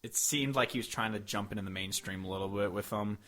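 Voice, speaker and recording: A man's voice: on the low side, fast, a bit bright. A young man hosting a podcast, chatting casually about country music in a joking, foul-mouthed way. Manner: profane, joking. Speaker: podcast host.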